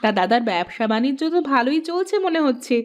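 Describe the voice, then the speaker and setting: A woman's voice: high-pitched, very animated, fast talker. A woman voicing a friendly, chatty village neighbour in a cartoon, with an easygoing manner. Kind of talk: cartoon voice acting.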